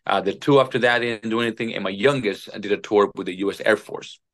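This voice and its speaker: A man's voice: lowish voice, quick pace, fairly bright tone. A man in his forties from Venezuela, a Marine veteran guest, talking politely and earnestly on a phone video call. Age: 40s. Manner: polite, earnest, many fillers. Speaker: guest, retired Marine officer. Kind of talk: casual interview. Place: indoor room. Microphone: phone at arm's length.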